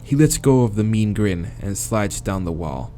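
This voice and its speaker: A man's voice: lowish voice, lively intonation, moderate pace, dark tone, faint music behind. A man reading visual novel narration aloud as a let's player, with casual, crude asides.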